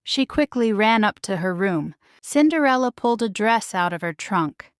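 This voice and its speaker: A woman reading a children's story aloud as a scripted narration, measured and expressive. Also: medium pitch, clean tone, dry recording, clean studio sound. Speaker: storybook reader.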